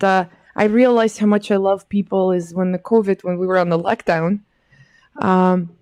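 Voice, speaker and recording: A woman's voice: medium pitch, dark tone, no room sound. A woman speaks as a guest in a radio studio. She answers in an earnest, heartfelt way. Her voice is dry and close to the mic. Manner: earnest, speaks fondly about her work. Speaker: real estate attorney, guest. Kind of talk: radio interview.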